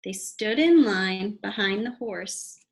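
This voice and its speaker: A woman reading a children's storybook aloud with deliberate, expressive delivery. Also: mid-range voice.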